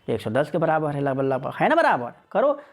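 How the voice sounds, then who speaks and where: A man's voice: on the high side, sing-song, a little fast, vocal fry. A man teaching an informal exam lesson, repeating phrases and putting rhetorical questions to students. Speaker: maths exam coach.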